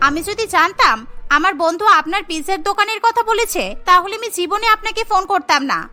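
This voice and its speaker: A woman's voice: very high-pitched, bright, forceful tone. A woman voicing a demanding, spiteful young college student in a cartoon, with an insistent, petulant manner. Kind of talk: cartoon dialogue acting.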